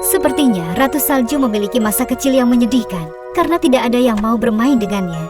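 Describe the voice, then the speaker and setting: A woman narrating a scripted children's fairy tale in a measured, expressive storytelling manner. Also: fairly high pitch. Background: music.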